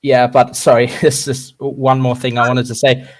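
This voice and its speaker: A young man with a mixed German and Australian accent that gets mistaken for South African. He vents with real heat as a caller, stumbling over his own restarts as he rushes to get his point across. His voice sits at an ordinary middle pitch. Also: level tone. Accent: German-Australian mix. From Australia, German-born. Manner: fast, impassioned, halting restarts. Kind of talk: passionate phone-in rant.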